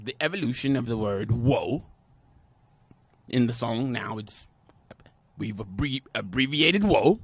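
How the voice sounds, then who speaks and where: A man's voice: medium pitch, lively intonation, slow pace, long pauses, breathy edge, no echo. A man giving comic, mock-serious commentary as a segment host, in a theatrical, mock-refined manner.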